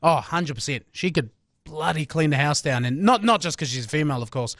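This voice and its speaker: A man with a New Zealand accent speaks in a fairly high voice. He co-hosts a podcast with casual banter, recorded dry in a studio. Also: lively intonation, brisk, fairly bright tone, no room sound. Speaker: podcast co-host.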